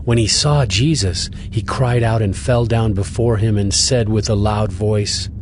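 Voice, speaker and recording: A man narrating a dramatized scripture reading in a steady, even storytelling voice. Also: on the low side, a little slow, heavy background noise. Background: music.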